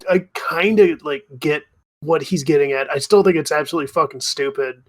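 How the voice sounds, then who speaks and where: A man co-hosting a podcast, chatting casually in a halting, sweary way. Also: on the high side. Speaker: podcast co-host. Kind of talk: casual chat.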